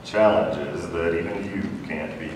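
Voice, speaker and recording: A man's voice: low voice, measured pace. A man acting a coolly persuasive schemer in an amateur science fiction audio drama, recorded in an echoey, noisy hall. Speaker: audience volunteer voice actor. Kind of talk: amateur sci-fi audio drama read-through. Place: large convention hall, live on stage. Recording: echoey noisy convention hall, distant mic.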